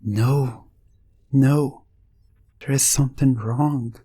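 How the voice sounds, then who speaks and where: A man narrating scripted hypnosis ASMR in the second person, drawing out his phrases in a halting, suggestive way. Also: medium pitch, fairly even intonation, mellow, husky. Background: music.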